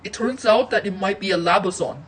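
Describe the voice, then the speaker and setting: A woman's voice: medium pitch, brisk, a little hiss or hum. A woman announcing a made-up emergency alert in the manner of an amateur broadcast.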